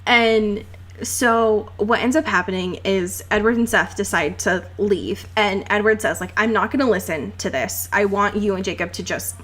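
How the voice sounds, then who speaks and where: A young woman co-hosting a podcast, casually retelling a book in a giggly, animated way and swearing freely. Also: mid-range voice, normal speed. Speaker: podcast co-host. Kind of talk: casual comedic book discussion.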